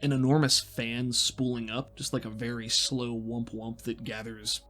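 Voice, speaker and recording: A man's voice: medium pitch, flat delivery, moderate pace, a bit bright, faint music behind. A man working as game master, narrating a tabletop game in a descriptive, conversational way as he thinks aloud.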